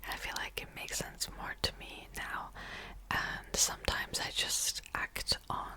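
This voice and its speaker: Young woman whispering asmr close to the mic, slow and kinda bright. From Czech Republic. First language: Czech. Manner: soft unvoiced whisper, rambling with small laughs. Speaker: ASMR creator. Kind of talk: whispered ASMR reading wrap-up.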